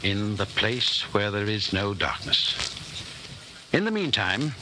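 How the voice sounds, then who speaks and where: An actor playing a grave, controlled leader of a secret brotherhood, speaking with measured authority in a 1940s radio drama. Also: male voice, fairly low pitch, big pitch swings. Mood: grave, instructing. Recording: noisy 1940s radio recording.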